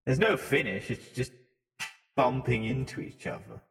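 Male podcast co-host, medium pitch, casual and crude banter, very echoey reverberant recording. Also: normal speed, a bit bright. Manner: crude joking.

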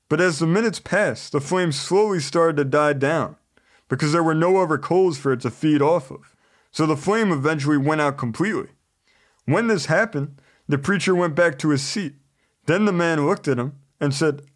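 A man preaching a sermon in a measured, scripted delivery, earnest and exhorting. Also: a little high.

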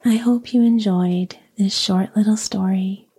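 A woman reading a bedtime story in a slow, soothing, breathy whisper to help the listener fall asleep. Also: mid-range voice, fairly even intonation, warm tone. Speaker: sleep story narrator.

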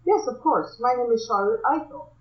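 A woman's voice: a little high, animated, moderate pace, close to an everyday voice. A woman voicing a poised, proud and elegant young woman customer. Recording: muffled, echoey room.

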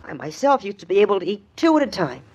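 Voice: medium pitch, big pitch swings, fast, a little mellow, husky. An actor playing a polite, self-serious prep-school teenage boy in a 1950s radio comedy, speaking formally and with some hesitation. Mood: solemn. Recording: dull old radio broadcast recording.

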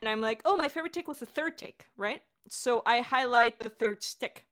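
A woman co-hosting a casual question-and-answer chat as a director, explaining things in an animated way. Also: a little high, normal speed. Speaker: podcast director and co-host.

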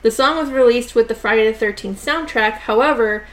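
A woman with a somewhat high voice hosts a casual horror movie review podcast. She talks in a chatty, rambling way with plenty of fillers, a little slower than usual because of a sore jaw. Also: fairly bright tone. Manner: chatty, many "like" and "you know" fillers. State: sore jaw, talking a bit slowly. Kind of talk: casual conversational film review.

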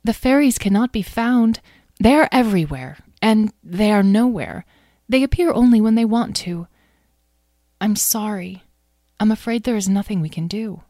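A woman voicing a gentle, solemn mare pony who speaks slowly and gravely. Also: medium pitch, animated, no echo, studio quality, voice pushed up, natural voice.

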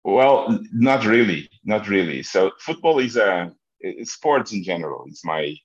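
A middle-aged man with a very low voice and a Portuguese accent answers interview questions. He speaks haltingly and often repeats words as he finds his phrasing. The sound is echoey and slightly dull. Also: sing-song, slightly rough. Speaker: interview guest, football business executive. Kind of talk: conversational interview answers, personal recollection.

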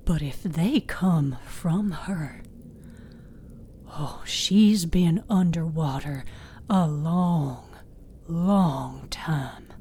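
A woman voicing a grave, troubled older woman keeper of stories, hushed and deliberate. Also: low-pitched, slight background noise, pitched below the performer's own voice. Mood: haunted.

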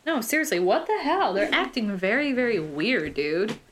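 A woman's voice: a little high, sing-song, normal speed, a bit bright, slight background noise. Giggly, sweary woman podcaster. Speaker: true crime podcast host. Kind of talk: casual co-host banter.

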